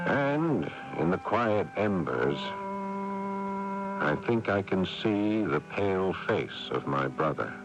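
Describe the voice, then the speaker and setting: Brooding, weary older brother, lo-fi radio. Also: male voice, low-pitched. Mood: somber reflection. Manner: dramatic, world-weary delivery. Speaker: narrator and lead actor. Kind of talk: 1980s radio drama acting. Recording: dull lo-fi AM radio broadcast.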